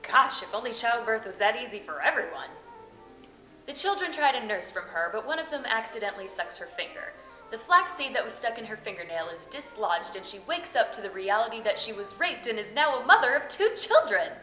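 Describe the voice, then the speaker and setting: A woman narrates as a comic storyteller, bright and theatrical, with a knowing, tongue-in-cheek delivery. The room adds clear echo, and faint music plays underneath. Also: a little high, big pitch swings, a little fast. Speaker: host and storyteller.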